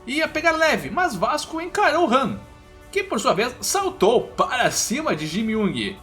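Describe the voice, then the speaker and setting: A man's voice: sits high, fairly bright tone. A man with a Brazilian accent narrating a scripted story recap in casual language, recorded with music underneath. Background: background music.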